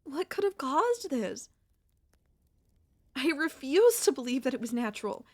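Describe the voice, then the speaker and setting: Woman voicing a soft, hesitant young monster girl, close and dry. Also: high voice, very animated, unhurried, no room sound. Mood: alarmed, suspicious. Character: young female centipede monster girl, gentle, wistful, anxious. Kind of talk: ASMR audio roleplay.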